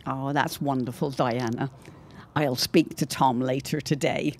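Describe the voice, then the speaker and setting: A woman playing a chatty, gently reassuring middle-aged mother in a period audio drama, exclaiming as she goes. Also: extremely low pitch, fairly even intonation, a little slow, full character voice. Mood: pleased, brisk.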